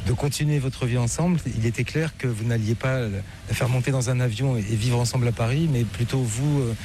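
Guy hosting an interview, talks fast, lots of euh, noise in the back. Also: mid-range voice, mellow. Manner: chatty, prompting questions with fillers. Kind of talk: casual radio-style interview.

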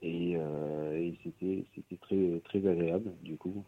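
A man's voice: sits low, steady tone, measured pace. A man who is a guest musician, talking casually in a radio interview, hesitant with many fillers and restarts. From Pyrénées-Orientales, France. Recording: tinny phone-like radio line.

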